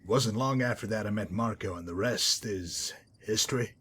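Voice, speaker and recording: A man playing a cold, cynical former pit fighter and gang enforcer in a video game, speaking in a calm, wry, articulate and menacing way. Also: on the low side, moderate pace, slight background noise, close to an everyday voice. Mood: grim reminiscing. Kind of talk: video game character dialogue.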